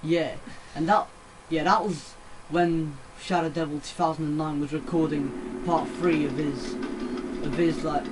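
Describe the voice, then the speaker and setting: A man's voice: fairly high pitch, steady tone, unhurried, background noise. A man hosting a let's play, giving casual, rambling gameplay commentary that explains things as he goes. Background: video game music.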